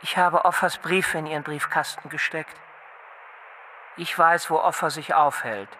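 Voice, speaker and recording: An actor playing an ominous, insistent anonymous caller on a recorded phone call in a radio drama. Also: male voice, on the high side, fairly even intonation, measured pace, pushed and bright, in a large hall, a little hiss or hum. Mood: ominous, tense. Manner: insistent, ignores questions. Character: tense, ominous anonymous male caller claiming secret knowledge. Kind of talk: German radio drama acting.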